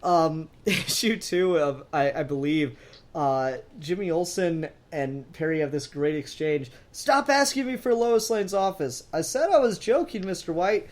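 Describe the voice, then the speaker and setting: Animated man chatting casually, slightly high voice. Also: a little slow. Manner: animated, profane, acts out quoted dialogue. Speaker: podcast co-host discussing comics. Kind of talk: casual chat.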